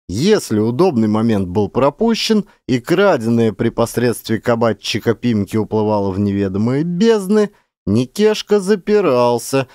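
Animated male audiobook narrator. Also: mid-range voice, no room sound, clean studio sound. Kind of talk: dramatic scripted literary narration.

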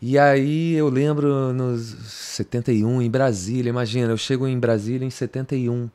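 A man's voice: mid-range voice, steady tone, normal speed, warm tone. Rambling middle-aged Brazilian man reminiscing. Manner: rambling, restarts, slangy "cara", "entendeu?". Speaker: podcast guest, guitarist. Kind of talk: casual interview talk. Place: home music studio, indoors. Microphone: studio mic on boom arm.

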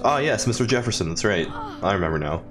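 A man's voice: lowish voice, expressive, fast talker, over music. A man giving casual, rambling let's play commentary as a gaming host, with a wry, joking manner.

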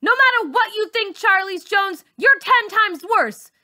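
A woman's voice: very high voice, expressive, unhurried, sharp tone, voice pushed up, full character voice. A woman voicing a defiant, wounded young schoolgirl in an audiobook, emphatic and outspoken as she stands up for herself. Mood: defiant, yelling.

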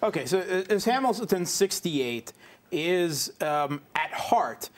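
A man giving political commentary as a studio panel guest, scornful, with halting starts. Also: sits high, animated.

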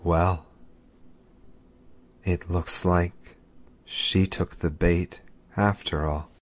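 A man playing a smug, scheming villain in an audio drama, gloating coolly in a deliberate drawl. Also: low voice, little pitch movement, exaggerated voice. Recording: loud music under the voice.

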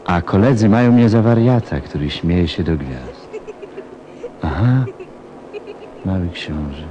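Actor as wistful deep-voiced pilot. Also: male voice, expressive, unhurried, muffled recording. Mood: fond, animated. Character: wistful adult male pilot missing his lost young friend. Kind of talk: slow dramatic monologue in an audio play.

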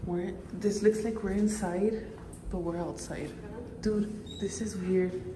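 A woman's voice: mid-range voice, fairly even intonation, slow, background noise. A young woman in her twenties vlogging in a hotel, chatting casually, swearing and laughing, dropping in Spanish phrases. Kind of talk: casual chat. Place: hotel room and hallways, indoors. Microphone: handheld phone camera.

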